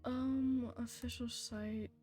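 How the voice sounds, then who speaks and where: High-voiced woman livestreaming a game. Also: level tone, takes it slowly, mellow. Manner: muttering to herself, blunt short questions and reactions. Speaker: game streamer. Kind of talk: casual livestream chat over gameplay. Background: game music.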